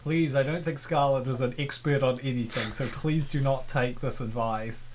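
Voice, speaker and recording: A man's voice: mid-range voice, fairly even intonation, normal speed, a little hiss or hum. A man narrating a comic dub with casual, sarcastic commentary and exclamatory reactions.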